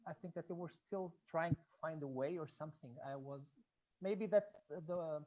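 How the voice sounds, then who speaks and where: A Portuguese-accented speaker, native in Portuguese, talks in a hesitant, halting way during an informal online discussion. The pitch sits in a middle range, and the call audio is muffled and lo-fi. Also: a little mellow, slight background noise. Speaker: audience member, student researching Blake and music.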